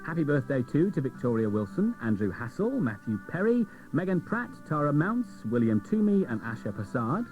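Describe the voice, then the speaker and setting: A man's voice: on the high side, very animated, normal speed, hardly any pauses, a little mellow, faint music behind. A man presenting a children's radio show, chatting directly to the listeners. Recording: dull old cassette radio recording.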